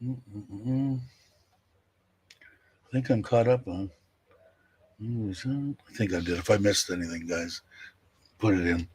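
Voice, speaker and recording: A man's voice: fairly low pitch, takes it slowly, pauses often, darkish tone, slight background noise. A man in his sixties talking casually on a livestream, chatting candidly and answering viewer comments with many fillers. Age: 60s. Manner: rambling, candid, with fillers and laughs. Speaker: livestream host.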